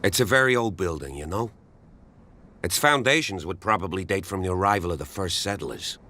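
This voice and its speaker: A gruff local policeman in a video game, with a low voice that cuts through brightly and forcefully. He is wary and protective of his townsfolk, speaking in measured, folksy phrases. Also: animated. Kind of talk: scripted game dialogue.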